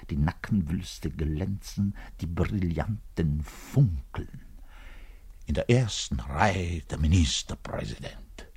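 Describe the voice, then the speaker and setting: Radio play lead: bitter, lovelorn male orchestra player, pompous and confessional, rough husky voice with very animated melody. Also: low-pitched, heavily acted. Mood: hushed suspenseful storytelling. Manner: dramatic, swinging from hushed confiding to loud declaiming. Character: lovelorn, self-important, bitter orchestral double bass player. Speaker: actor in a radio play monologue. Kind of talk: theatrical radio play monologue, confiding in the listener.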